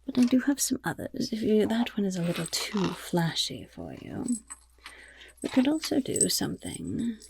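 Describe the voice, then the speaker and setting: A woman voicing a friendly female goblin rogue in an ASMR fantasy roleplay, with a breathy, raspy whisper and gently encouraging, genteel phrasing. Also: medium pitch, animated, moderate pace, background noise. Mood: helpful, musing.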